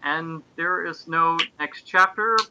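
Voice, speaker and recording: A man's voice: on the high side, slow pace, muffled recording, very noisy. A man reading aloud and bantering on a podcast. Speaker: fan fiction podcast host.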